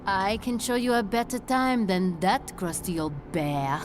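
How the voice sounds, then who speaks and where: An actress playing a seductive, menacing mercenary killer in a video game, with a languid, taunting, theatrical delivery. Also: female voice, mid-range voice, expressive, measured pace, background noise, natural voice. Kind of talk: video game cutscene acting. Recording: music behind the dialogue.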